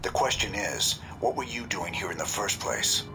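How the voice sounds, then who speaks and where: Male game role: controlled covert cell leader, acted by a man, lowish voice, firm and formal, music behind. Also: expressive, normal speed, close to an everyday voice, rough recording. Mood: stern, guarded. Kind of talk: video game voice acting.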